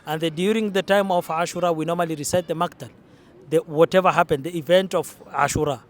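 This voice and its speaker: A young man from Kenya speaks in an earnest, explanatory way, often restarting his sentences. His voice sits a little high for a man. He is recorded outdoors in a city. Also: steady tone, fast. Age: young adult. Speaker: interviewee. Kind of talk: casual interview.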